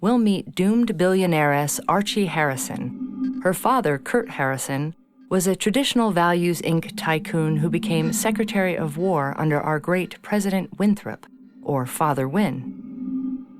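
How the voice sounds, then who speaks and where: Woman acting a low-voiced, smug podcast host. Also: lively intonation, unhurried. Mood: smug, polished. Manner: polished, insinuating presenter delivery. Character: smug fifty-year-old female propaganda true-crime podcast host. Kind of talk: scripted audio fiction narration as podcast. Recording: loud guitar music behind voice. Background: guitar music.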